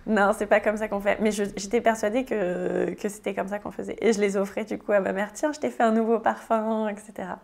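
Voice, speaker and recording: A woman's voice: mid-range voice, level tone, brisk, vocal fry, hardly any pauses, a little mellow. A French woman in her thirties answering interview questions casually, with many hesitations and restarts. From France. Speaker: guest, tiny house builder.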